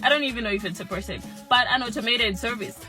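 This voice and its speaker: A woman with a Zambian accent telling a funny story to camera in a casual, animated way. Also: a little high, quick pace, faint music behind. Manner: animated, humorous, acts out her own voice. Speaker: vlogger.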